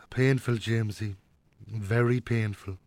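An actor playing a gentle, wistful Irish father of a grown son in a naturalistic radio drama. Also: lowish voice, fairly even intonation, slow pace, dry recording. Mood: reflective, regretful.

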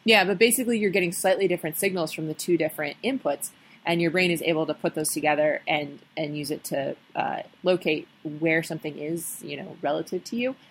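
A woman's voice: low voice, fairly quick, husky. A young adult woman co-hosting a podcast, explaining ideas in casual chat with thoughtful ums and restarts. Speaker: podcast co-host.

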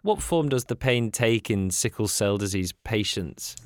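A man narrating an introduction and asking interview questions in a measured way. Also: fairly low pitch, lively intonation, slight background noise.

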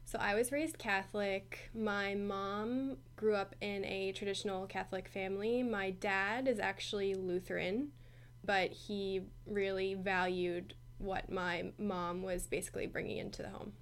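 A woman's voice: medium pitch, steady tone, a little slow, smooth. A twenty-three-year-old woman speaking in a matter-of-fact way in an interview. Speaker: staff assistant.

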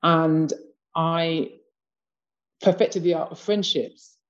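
A woman's voice: low-pitched, fairly even intonation, takes it slowly. Reflective British woman, dull lo-fi audio. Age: 50s. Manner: reflective, many "you know" asides. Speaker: discussion participant. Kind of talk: casual conversation.

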